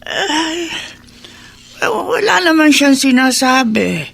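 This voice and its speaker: A woman playing a wistful, lonely elderly woman with a raspy voice and Filipino accent in a radio drama. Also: high voice, big pitch swings, slow pace, fairly bright tone, exaggerated voice.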